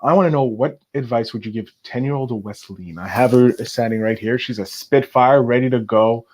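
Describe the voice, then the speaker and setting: A man's voice: mid-range voice, moderate pace, a little mellow. A man hosting a podcast, talking in a casual, conversational interviewing style. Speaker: podcast host.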